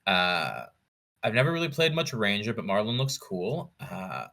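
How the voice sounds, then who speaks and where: A man with a fairly low but bright, forward voice. He chats casually as a podcast guest, weighing his points in a measured, analytical way and thinking aloud. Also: huge pitch swings, gravelly. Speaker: podcast guest, competitive card game player. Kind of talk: casual interview chat.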